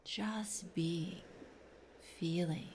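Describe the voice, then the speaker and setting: A woman leading a guided meditation in a soft, soothing, breathy voice with gentle pauses. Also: lowish voice, steady tone, slight background noise. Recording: voice over clear wave sounds. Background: ocean waves.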